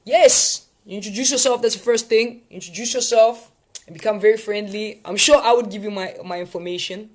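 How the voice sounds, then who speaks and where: A man's voice: sits high, brisk. Man, South African accent, live session host, casual, chatty, rambling, playful. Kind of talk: casual live chat with viewers.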